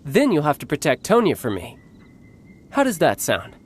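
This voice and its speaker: Confident young warrior and doting big brother; playful, laughs easily, very lively delivery. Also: male voice, a little high, moderate pace, a little hiss or hum. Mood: brotherly. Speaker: military commander. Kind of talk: video game voice acting.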